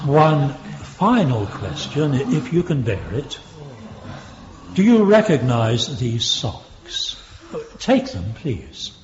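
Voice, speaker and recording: A Victorian English barrister in a radio drama. He is condescending and courtly, drawing out his questions with expressive rises and falls. The recording is echoey and slightly muffled. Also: mid-range voice, takes it slowly, slight background noise. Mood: pointed. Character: smooth, patronising Victorian prosecuting barrister. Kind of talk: British radio drama acting.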